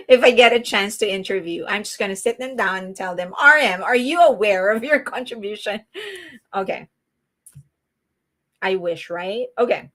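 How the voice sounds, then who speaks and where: A woman's voice: medium pitch, a little slow, long pauses, fairly bright tone. A woman with a Filipino accent hosting a live stream, chatting casually with her viewers, laughing often and restarting her sentences. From Philippines. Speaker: livestream host.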